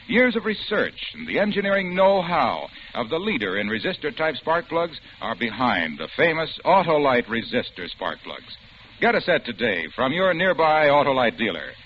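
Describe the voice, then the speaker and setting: A man working as a commercial announcer, delivering emphatic, punchy scripted patter in a 1950s radio ad style. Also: fairly high pitch. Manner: emphatic, salesmanlike. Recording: muffled vintage radio, faint noise.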